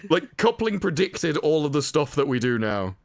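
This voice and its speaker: A man's voice: a little high, very animated, moderate pace, no room sound, studio quality. A man, by his words, co-hosting a casual livestream chat, ranting sarcastically and acting out mock quotes. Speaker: co-host.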